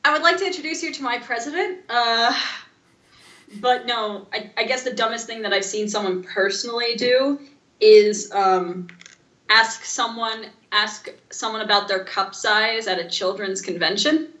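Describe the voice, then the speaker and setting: A woman co-hosting a podcast in casual chat, blunt, sardonic and animated. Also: on the high side, moderate pace, roomy sound. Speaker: podcast co-host.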